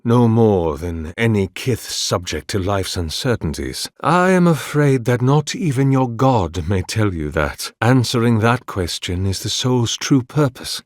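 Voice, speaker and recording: A devout, scholarly priest from a fantasy video game, weary yet courteous. His voice sits on the low side and moves very slowly, with formal, archaic phrasing and a thoughtful, reverent manner. The sound is close and dry. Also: expressive, no room sound.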